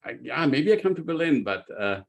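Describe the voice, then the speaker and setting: A man's voice: a little high, big pitch swings, brisk, vocal fry. Hesitant man. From Vienna, Austria. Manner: hesitant, many uhs. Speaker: guest speaker, executive coach. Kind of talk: casual chat. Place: home in Silicon Valley. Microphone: video call.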